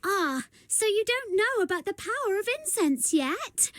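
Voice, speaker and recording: A woman's voice: very high voice, big pitch swings, unhurried, a bit bright, no room sound, clean studio sound, full character voice. A woman voicing a cheery, youthful guide in a video game, friendly and eager to explain. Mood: explanatory. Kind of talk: video game character acting.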